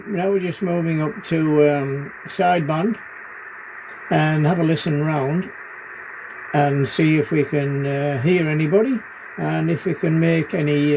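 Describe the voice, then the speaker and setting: A man from New Zealand, an amateur radio operator, talking casually and haltingly as he demonstrates his station. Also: a little high. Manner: halting, hesitant. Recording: clear radio static and background noise. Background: amateur radio receiver noise.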